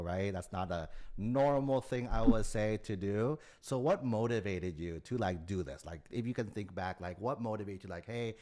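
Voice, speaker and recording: A man's voice: fairly low pitch, darkish tone. A man working as an admissions coach, asking layered follow-up questions in a casual, probing way and often tagging on "right?". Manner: probing, repeats "right?" and "as well too".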